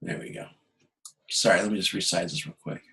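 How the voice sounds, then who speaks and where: A man giving an informal online lecture as a course instructor, halting and correcting himself as he thinks aloud. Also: deep voice, very animated, vocal fry, a bit bright. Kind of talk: informal lecture explaining slides. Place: indoor room, online video call. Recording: echoey room on video conference.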